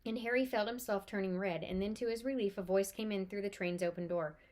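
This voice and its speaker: A woman's voice: medium pitch, fairly even intonation, a little fast, a little mellow. A woman reading a children's novel aloud as storyteller, expressively and with animation.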